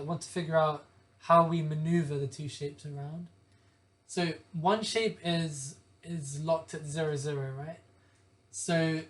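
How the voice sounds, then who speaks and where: Young guy explaining code, hoarse from a cough, keeps correcting himself. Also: a little high. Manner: methodical, corrects himself often. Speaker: programming tutor. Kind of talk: screen-share coding walkthrough.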